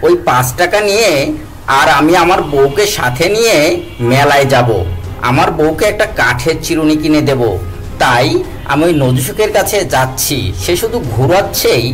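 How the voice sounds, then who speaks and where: Man voicing a stubborn wise guy in a cartoon, politely refuses to let it go, slightly high voice, soft music under. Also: animated, moderate pace, rough recording. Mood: explaining. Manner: pleading, insistent. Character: clever old male wise man, stubborn and persistent.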